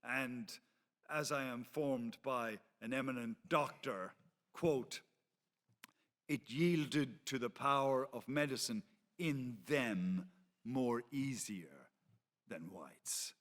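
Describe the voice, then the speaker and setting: A man's voice: mid-range voice, slow, fairly bright tone. A man reading as an authoritative, censorious 18th-century pamphleteer, in a formal, emphatic and occasionally stumbling dramatic delivery. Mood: measured, expository. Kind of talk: dramatic reading of a historical account. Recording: reverberant hall.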